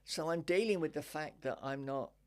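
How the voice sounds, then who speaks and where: An older woman with a deep voice, a stand-up comedian telling wry anecdotes in a relaxed interview chat. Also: normal speed. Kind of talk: casual interview chat, storytelling.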